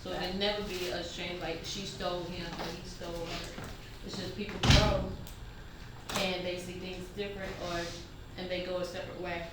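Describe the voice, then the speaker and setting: A woman's voice: fairly low pitch, level tone, moderate pace. A woman in a casual group discussion, explaining things plainly and instructively, as if to a child. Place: indoor room at a table read. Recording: very echoey room, distant mic, noisy background.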